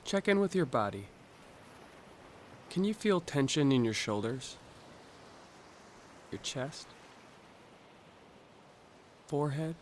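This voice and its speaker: Calm man guiding a meditation. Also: a little high, a little hiss or hum. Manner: calm, gentle, instructional with pauses. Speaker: guided meditation narrator. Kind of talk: scripted guided meditation.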